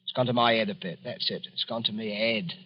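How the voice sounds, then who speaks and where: An actor playing a mild, muddled older English lodger with a hesitant, rambling, faintly sinister manner, in a vintage radio drama. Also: male voice, fairly low pitch, fairly even intonation, a little fast, a little hiss or hum, close to an everyday voice. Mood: rambling, eerie. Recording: muffled vintage radio recording.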